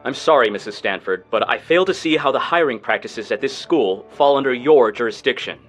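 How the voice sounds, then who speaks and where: A mocking, condescending university president. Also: male voice, mid-range voice, expressive, fairly quick, fairly bright tone, faint music behind. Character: scornful university president, middle-aged man. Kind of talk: radio drama acting.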